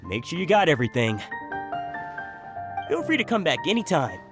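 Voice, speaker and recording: A man's voice: a little high, wildly swooping pitch, normal speed. An actor playing a generous, friendly male food stall keeper in a video game, hearty and obliging. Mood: generous, cheerful. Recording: music playing behind the voice.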